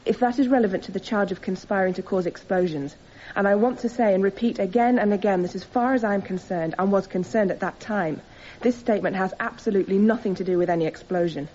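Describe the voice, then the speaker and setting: Radio drama: actress as young female defendant, warm dark tone, impassioned and unyielding, slightly dull recording. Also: mid-range voice, quick pace, few pauses, a little hiss or hum, close to an everyday voice. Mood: insistent. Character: earnest, defiant young woman defendant on trial. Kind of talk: radio courtroom drama acting.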